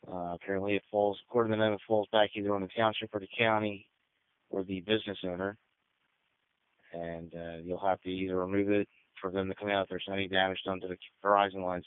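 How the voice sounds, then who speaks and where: A man's voice: low voice, clean tone, no echo. A man working as a county dispatcher on two-way radio, speaking in a clipped, procedural, matter-of-fact way. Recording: muffled narrow-band two-way radio traffic.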